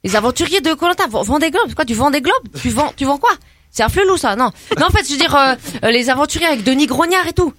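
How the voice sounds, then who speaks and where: Casting: woman as a cheeky suburban girl, banlieue slang, high and fast, radio comedy. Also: expressive, fairly bright tone. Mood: chatty. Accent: French banlieue slang. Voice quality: slangy, talks in a put-on street manner. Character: cheeky young suburban woman, brash and naive. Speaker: comedy columnist. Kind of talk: scripted radio comedy sketch.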